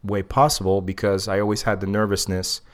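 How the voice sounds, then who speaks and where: A man's voice: fairly low pitch, little pitch movement, brisk. A man chatting casually and comedically as a podcast host, in a rambling, self-deprecating way.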